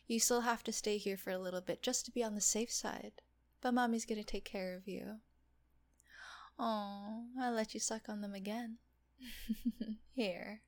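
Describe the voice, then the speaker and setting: A woman voicing a teasing, doting female nurse in an intimate audio roleplay, talking softly and often in a whisper. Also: mid-range voice, steady tone, normal speed, lightly acted. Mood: teasing, coaxing.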